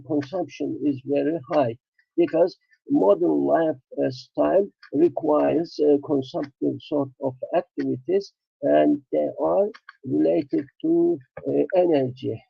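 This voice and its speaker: A man with a Turkish accent delivers an academic talk in a gravelly, husky voice, pausing often to search for words. The audio is dry and a little dull. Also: a little high, no echo. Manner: frequent hesitations, halting. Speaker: conference speaker, professor. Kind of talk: academic presentation.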